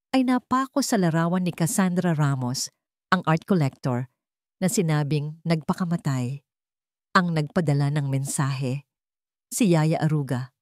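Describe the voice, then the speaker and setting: A woman narrator with a Filipino accent delivers a scripted, dramatic story. Her voice sits a little low for a woman, recorded close and dry with no room sound. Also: dry recording.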